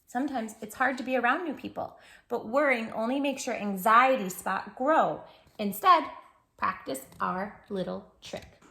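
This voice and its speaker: Bright, high-voiced woman reading to kids. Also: measured pace, creaky. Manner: expressive, encouraging, speaks directly to the child listener. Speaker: children's storytime host reading picture books aloud. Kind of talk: animated read-aloud to young children.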